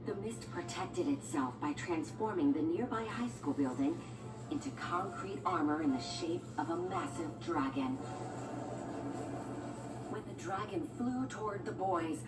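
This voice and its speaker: A woman narrating a scripted children's storybook as a read-along storyteller. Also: fairly low pitch. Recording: echoey, distant, music bed. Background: music and sound effects.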